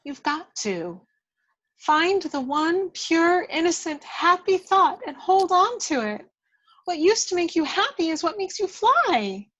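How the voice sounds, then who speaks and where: A woman's voice: extremely high pitch, very animated, slow pace, a bit bright, muffled recording, pitched above the performer's own voice, full character voice. A woman voicing a tiny fairy, tender, earnest and encouraging, in a table read of a film script.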